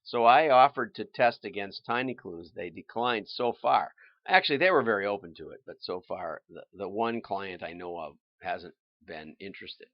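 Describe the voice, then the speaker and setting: Low-pitched man, informal explainer, muffled sound. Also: moderate pace, dry recording. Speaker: livestream host and marketing consultant. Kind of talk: informal explanatory commentary, livestream.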